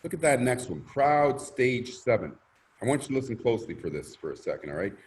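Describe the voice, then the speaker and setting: A man presenting a motivational sales pitch in an emphatic, theatrical way, repeating phrases and asking rhetorical questions. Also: big pitch swings, fairly quick, darkish tone. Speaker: presenter pitching a crowdfunding scheme.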